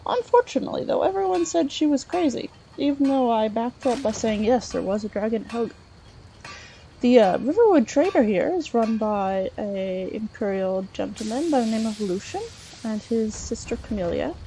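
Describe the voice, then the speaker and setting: A woman narrating a video game in character as an adventuring scholar, halting and thinking aloud as she goes. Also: a little high, lively intonation, creaky, dark tone, a little hiss or hum, rough recording. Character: chatty Breton scholar woman, treasure-hunting adventurer. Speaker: let's play host role-playing a character.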